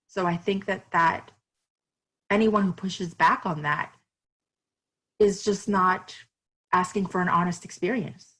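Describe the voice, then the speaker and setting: A woman's voice: lowish voice, steady tone, unhurried, pauses often, a bit bright, slightly rough, low-quality recording. A woman author from Miami, speaking earnestly and reflectively as a podcast interview guest, with fillers and restarts.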